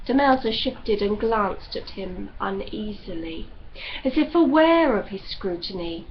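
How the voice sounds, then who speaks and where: A woman reading literary fiction aloud as an audiobook narrator, in an expressive storytelling style. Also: mid-range voice, unhurried. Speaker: audiobook reader. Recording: dull lo-fi audio with light noise.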